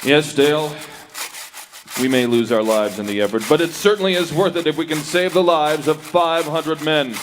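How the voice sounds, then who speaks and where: A man's voice: fairly high pitch, moderate pace, background noise, natural voice. An actor playing a commanding, resolute masked cowboy lawman in 1930s radio western style, speaking in urgent, emphatic orders. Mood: grave, resolute. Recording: reverberant hall, live stage performance.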